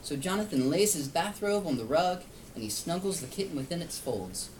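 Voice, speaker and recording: Male storyteller for a small child, animated and explanatory, picked up from a distance over background noise. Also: a little high, a little fast. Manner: explains and asks simple questions for a child. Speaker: read-aloud storyteller to a young child. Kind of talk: interactive picture-book reading.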